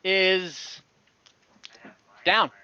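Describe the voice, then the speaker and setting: A man's voice: high voice, drawn-out words, pushed and bright, clean tone, dry recording, dull audio. A man acting as game master for an online tabletop game, explaining rules with hesitant restarts and fillers. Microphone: home mic on an online call.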